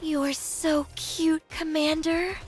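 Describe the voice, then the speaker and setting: High, sweet refined game girl. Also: flat delivery, slow, slight background noise. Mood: sweet, playful.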